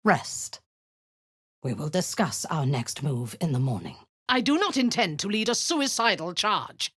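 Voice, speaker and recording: Low, husky drow warlord, cold and cruel, slow and deliberate, swinging from hissed threats to sharp barked orders. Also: female voice, big pitch swings, no echo, studio quality, full character voice. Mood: menacing. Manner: cold commands, often dropping to a menacing whisper. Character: cruel zealous drow woman warlord, commanding. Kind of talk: video game voice acting.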